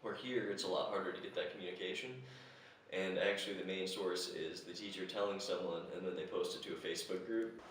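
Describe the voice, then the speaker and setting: A young American man with an American accent and a very low voice, talking in a relaxed way with easy laughs. He is heard from a distance in a very echoey room, with faint noise behind. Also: fairly quick. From United States. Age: young adult. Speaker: interviewee.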